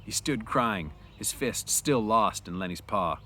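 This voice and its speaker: Low-pitched man reading a story aloud. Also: expressive, unhurried, sharp tone, a little hiss or hum. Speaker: read-aloud narrator. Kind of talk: animated story reading with informal asides.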